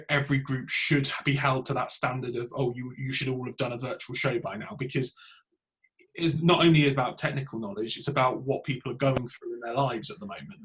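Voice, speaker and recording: A British man hosts a relaxed group conversation at a medium pitch. He feels his way through sentences in a hesitant, halting manner. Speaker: podcast host. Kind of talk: casual group discussion.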